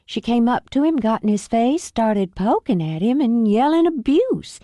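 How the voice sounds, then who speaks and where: A woman's voice: on the high side, big pitch swings, dark tone, no room sound, clean studio sound, voice pushed up. A woman narrator voicing a friendly, amused young woman with a slight Southern drawl. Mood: matter-of-fact. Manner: smooth, friendly, unhurried.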